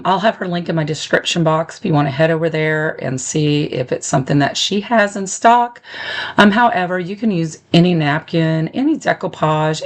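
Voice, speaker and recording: A woman talks through a crafting project in a relaxed, conversational voice-over, walking the listener through each step with the occasional um. Her voice sits fairly low for a woman, and it comes out a bit squeaky and crackly because she is still getting over being sick. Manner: friendly step-by-step instructions with occasional ums. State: recovering voice, a little squeaky and crackly. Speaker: DIY upcycling channel host. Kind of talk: casual tutorial voice-over.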